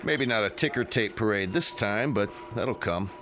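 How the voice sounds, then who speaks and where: An actor playing a commanding military general in a radio drama, with brisk, clipped authority. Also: male voice, on the low side, lively intonation, a bit bright. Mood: brisk, pleased. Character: commanding, clipped male Air Force general at flight control.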